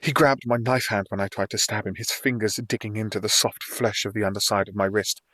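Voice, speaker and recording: A man's voice: on the low side, steady tone, brisk, pushed and bright, dry recording. A man reading scripted fantasy fiction as a dramatic first-person audiobook narrator.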